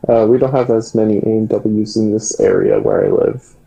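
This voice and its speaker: A man's voice: on the low side, steady tone, moderate pace, gravelly, mellow, rough recording. A man chatting casually as a podcast co-host, phrasing his thoughts hesitantly. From Canada. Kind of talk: casual chat.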